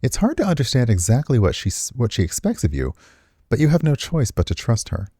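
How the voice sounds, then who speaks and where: A man's voice: mid-range voice, wildly swooping pitch, a little fast, warm tone, breathy edge. A man reading visual novel text aloud expressively as a game streamer, slipping into casual commentary.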